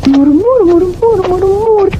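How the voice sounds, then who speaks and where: An actress playing a sweet, doting cartoon fairy-tale woman who coos adoringly in drawn-out words. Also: extremely high pitch, expressive, background noise, full character voice. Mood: cooing, coquettish. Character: doting, sweet peasant wife and cooing fairy-tale charmer. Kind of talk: animated cartoon acting. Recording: muffled old cartoon soundtrack, loud music.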